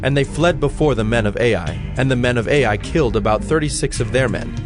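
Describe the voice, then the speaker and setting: A man narrating dramatized scripture in a solemn, measured storytelling style. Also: medium pitch. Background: music.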